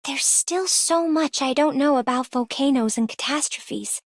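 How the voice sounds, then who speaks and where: A woman voicing a gentle, devoted young researcher in a video game, polite and earnest. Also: high-pitched, measured pace, dry recording, clean studio sound. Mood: grateful. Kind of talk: video game character voice lines.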